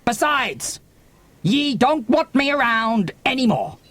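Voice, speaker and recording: A man's voice: very high voice, very animated, slow, fairly bright tone, no room sound, heavily acted. A man voicing a theatrical, blustering sea captain in a dramatised children's audiobook. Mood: blustering, dramatic. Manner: melodramatic, archaic seafaring talk.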